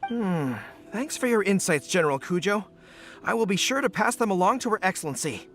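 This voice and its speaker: A loyal male officer from a fantasy video game. His voice is high for a man and lively, polite and deferential, with faint music underneath. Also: brisk. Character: courteous, loyal male military officer serving a leader. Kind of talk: video game character acting.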